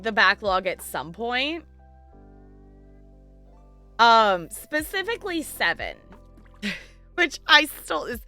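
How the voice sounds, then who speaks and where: Animated, bright-voiced woman streamer. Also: fairly high pitch. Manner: playful, giggly, animated. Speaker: live game streamer chatting with viewers. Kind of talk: casual livestream chat, rambling.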